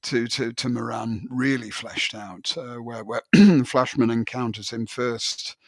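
A man's voice: mid-range voice, vocal fry, no echo. Man in a dry, close-sounding literary chat. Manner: hesitant, halting delivery with repeated words. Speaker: podcast host. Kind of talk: conversational literary discussion.

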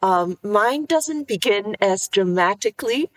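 Woman from Singapore, podcast guest, animated storyteller with a lively, swinging pitch. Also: unhurried. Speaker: guest cardiologist. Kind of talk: podcast interview answer.

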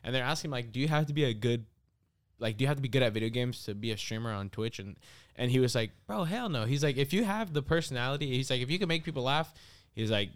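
A young man co-hosting a casual podcast, rattling off stories at a brisk clip. His voice comes through smooth and clear, full of fillers and restarts as he rambles. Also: mid-range voice, lively intonation. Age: young adult. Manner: fast, filler-heavy storytelling. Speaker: podcast co-host. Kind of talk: casual chat.

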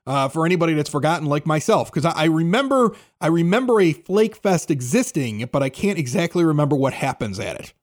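A man's voice: on the high side, very animated, a little fast, hardly any pauses. A man hosting a radio show, interviewing guests in a casual, conversational style.

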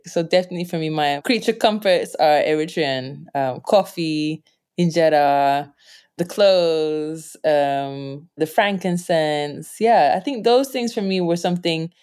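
Young woman, lowish voice, warm storyteller who laughs easily; interviewee. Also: smooth. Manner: animated, laughs often, jumps up in pitch when quoting others. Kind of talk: reflective conversational storytelling.

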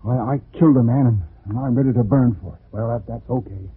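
Condemned murderer, urgent, stumbling, raspy voice, narrow vintage radio drama sound. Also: male voice, mid-range voice. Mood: urgent, insistent. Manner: halting, urgent, repeats himself. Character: desperate condemned male murderer, pleading, proud. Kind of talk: 1940s radio drama acting. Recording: muffled, tinny 1940s radio broadcast.

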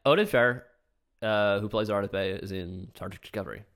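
Young male podcast host, lowish voice, rambling, laughs often. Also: normal speed, fairly bright tone. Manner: rambling, enthusiastic, laughs a lot. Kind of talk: casual chat.

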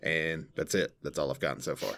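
A woman with a naturally deep voice chatting on a podcast, joking around and swearing casually. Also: brisk, gravelly, fairly bright tone. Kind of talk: casual chat.

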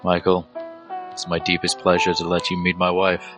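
A man playing a wounded, grieving dwarf in an audio drama, resigned and tender in a measured way. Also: deep voice, completely flat delivery, natural voice. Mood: weary, tender. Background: music.

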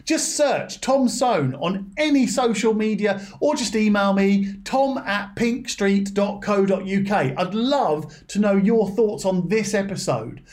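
A man hosting a podcast, reading scripted material aloud in a measured, formal way. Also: high-pitched, animated, darkish tone. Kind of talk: scripted narration of a formal policy document.

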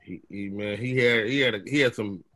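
Comedian guy from chicago telling stories, bright voice, does little voices for people. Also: lowish voice, brisk. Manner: animated storyteller, acts out voices. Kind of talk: casual conversation.

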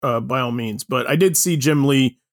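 A man's voice: mid-range voice, normal speed, dry recording, clean studio sound. A man hosting a casual panel chat, talking informally with the others. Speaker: podcast host.

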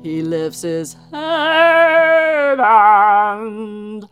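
Slow, theatrical woman as thriller narrator. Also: medium pitch, expressive, fairly bright tone, faint music behind. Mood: solemn, suspenseful. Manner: drawn-out, theatrical. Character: solemn dramatic narrator of a thriller script. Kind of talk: slow dramatic reading over music.

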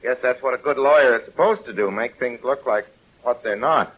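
A man's voice: medium pitch, brisk, slightly rough, close to an everyday voice. Unassuming small-town everyman played by a male actor, plain-spoken, earnest, folksy delivery, dull 1930s radio sound. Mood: mild, earnest. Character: plain-spoken, guileless small-town man defending himself. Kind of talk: 1930s-40s radio drama acting. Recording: muffled, noisy old radio recording.

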